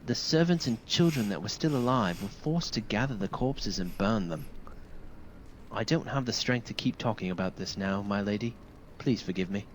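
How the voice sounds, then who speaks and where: A man performs a wounded, grieving soldier from a video game. He speaks in a low, solemn voice with formal, deferential phrasing, over faint background ambience. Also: animated, moderate pace, a little hiss or hum, natural voice, low-quality recording. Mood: weary, resolved. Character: wounded, grieving male soldier recounting a massacre. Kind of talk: dramatic video game dialogue.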